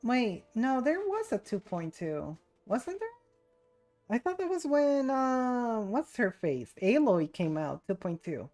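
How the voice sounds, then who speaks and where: A woman's voice: fairly high pitch, big pitch swings, slow pace, darkish tone. A woman chatting casually and jokingly as she live-streams a video game, playful and giggly. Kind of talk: casual live-stream chat over gameplay. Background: game music.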